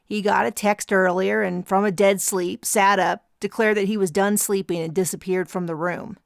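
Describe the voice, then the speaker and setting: A woman voicing a weary grown man who speaks in a slow drawl. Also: mid-range voice, gravelly, no room sound, studio quality. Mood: exasperated.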